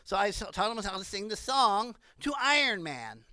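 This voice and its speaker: Animated woman in her sixties doing stand-up. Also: medium pitch, normal speed, dry recording, studio quality. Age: 60s. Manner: animated, rambling. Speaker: stand-up comedian. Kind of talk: stand-up comedy monologue.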